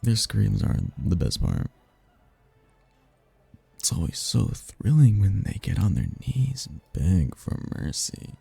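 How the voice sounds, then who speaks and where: Smug, flirtatious demon prince played by a male actor, very dark husky voice, close dry sound, for ASMR roleplay. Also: very animated, no echo, faint music behind, heavily acted. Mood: wicked, seductive. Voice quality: low, gravelly, husky. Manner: teasing, smug, drawn-out flirtatious delivery. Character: smooth-talking seductive male demon prince, playful, teasing, bored. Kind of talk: audio roleplay, ASMR-style voice acting.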